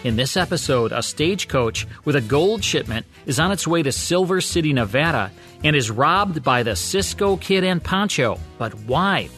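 A man's voice: on the high side, animated, moderate pace, a bit bright, soft background music. A man with an American accent reading scripted narration as a radio host.

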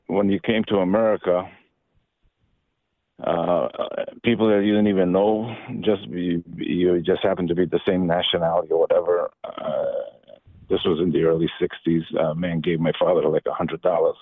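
A man in his sixties, originally from Albania, calling in to a radio show and talking in a halting way with many restarts. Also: sits low, little pitch movement, no room sound. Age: 60s. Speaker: caller. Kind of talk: casual phone-in chat. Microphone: telephone. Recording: tinny phone line.

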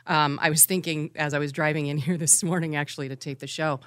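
A woman in her forties with a low voice, recorded close and dry in a studio. She rambles a little and pokes fun at herself, laughing as she talks. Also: steady tone, fast, fairly bright tone, clear, resonant tone, no room sound. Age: 40s. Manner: self-deprecating, laughs while talking, rambling. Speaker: radio show co-host. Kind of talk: casual chat.

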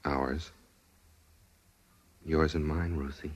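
An actor playing a grave, gentle husband in a 1940s radio drama, coaxing and hesitant, on a vintage recording. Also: male voice, a bass voice, little pitch movement, drawn-out words, exaggerated voice. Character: grave, gentle husband breaking terrible news.

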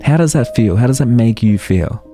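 Man, thirties, podcast host, motivational, earnest, rhetorical questions, warm dark tone. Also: medium pitch, lively intonation, normal speed, faint music behind. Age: 30s. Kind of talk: solo motivational podcast monologue.

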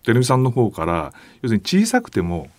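Animated male guest, close dry sound. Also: mid-range voice, dry recording. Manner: polite, halting, searching for words. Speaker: podcast guest, painter. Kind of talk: conversational explanation.

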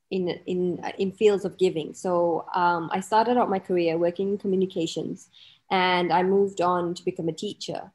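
A woman's voice: lowish voice, darkish tone. A woman from Malawi, a mindset and relationship coach, telling her story reflectively with many hesitations and restarts. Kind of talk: personal story in interview.

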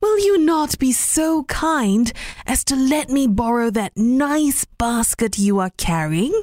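Woman doing a polite old woman, pleading high. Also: lively intonation, slow, a little mellow, no echo, studio quality.

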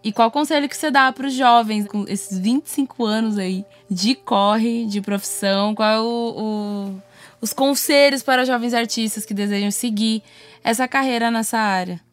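Brazilian woman interviewer, slightly high voice. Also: moderate pace, faint music behind. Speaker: interviewer, host. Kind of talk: casual interview questioning.

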